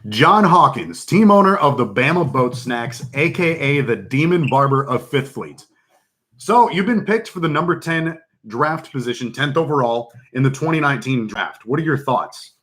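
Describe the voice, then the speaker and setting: Deep-voiced man hosting a live stream. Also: expressive, moderate pace, fairly bright tone. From Texas. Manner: jokey, rambling, lots of fillers. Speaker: fantasy football league host. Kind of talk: casual live stream chat.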